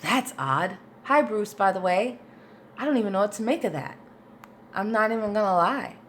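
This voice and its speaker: A woman's voice: mid-range voice, lively intonation, moderate pace, a little hiss or hum. A woman vocal coach in a casual livestream Q&A, reading viewer questions and answering them in a chatty, informal way, with patient step-by-step explanations. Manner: chatty and informal, with many fillers.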